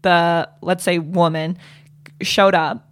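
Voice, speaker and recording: A woman co-hosting a comedy podcast, chatting casually with a somewhat low voice and rambling through many fillers and restarts. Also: flat delivery, slow pace. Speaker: podcast co-host.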